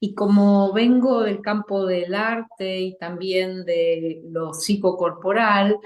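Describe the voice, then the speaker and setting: A woman from Argentina with an Argentine accent, an actress and theater director speaking as a guest in a reflective interview, measured and thoughtful with pauses. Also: mid-range voice, steady tone. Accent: Argentine Spanish.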